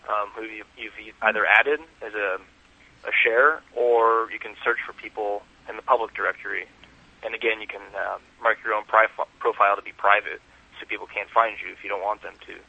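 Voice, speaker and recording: A man's voice: lowish voice, fairly even intonation, fairly quick, gravelly, slightly rough. A man giving conversational interview answers as a guest, heard over a lo-fi telephone call. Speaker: interview guest, website founder.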